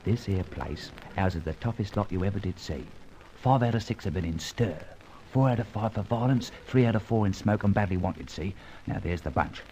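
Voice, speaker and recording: Actor as low-voiced underworld crook. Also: male voice, expressive, normal speed, mellow, lightly acted. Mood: chummy, furtive. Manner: slangy, confiding, chuckling. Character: Cockney underworld guide, chummy, wary small-time crook man. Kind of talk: old-time radio detective drama acting. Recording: muffled, hissy old radio recording.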